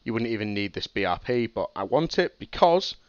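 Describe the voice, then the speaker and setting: A man's voice: lowish voice, sing-song, a little fast, clean tone, no echo. A young man talks through a design on a casual live stream, thinking aloud and correcting himself as he goes. He has a cold and sounds sniffly. The sound is dry and a bit dull, as from a home streaming setup. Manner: thinking aloud, many ums and restarts. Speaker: streamer teaching game programming.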